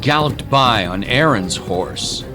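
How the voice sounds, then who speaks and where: A man's voice: fairly low pitch, expressive, takes it slowly. A man giving dramatic scripted narration of a story. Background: music.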